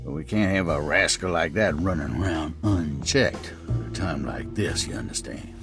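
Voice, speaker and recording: Shifty, folksy game character: hesitant and wheedling, widely swinging intonation, music behind. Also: male voice, a bass voice, lively intonation, moderate pace. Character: shifty, folksy man hunting a runaway girl. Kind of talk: cinematic video game voice acting.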